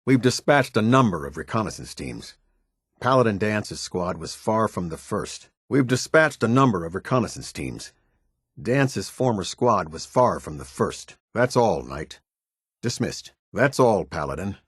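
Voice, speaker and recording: Deep, husky, stern military captain. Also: male voice, big pitch swings, unhurried, no room sound, clean studio sound, exaggerated voice. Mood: brisk, authoritative. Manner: clipped, commanding, formal military delivery. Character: stern male military captain, disciplined, exacting, loyal to his order. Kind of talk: video game voice lines.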